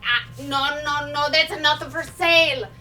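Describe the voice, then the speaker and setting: A woman playing a feisty, stubborn elderly Italian immigrant grandmother in a comic stage monologue, speaking blunt, halting broken English with an Italian accent. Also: high-pitched, bright, forceful tone. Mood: businesslike. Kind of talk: live comedic stage monologue. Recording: distant mic, noisy live room.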